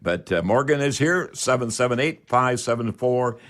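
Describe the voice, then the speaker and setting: A man's voice: medium pitch, animated, normal speed, a bit bright. A man hosting a live call-in radio show in a folksy, repetitive patter.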